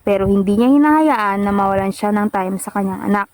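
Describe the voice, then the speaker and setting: A woman's voice: mid-range voice, a little fast, a crowd in the background. A woman giving scripted storytelling narration for a radio drama. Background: loud music.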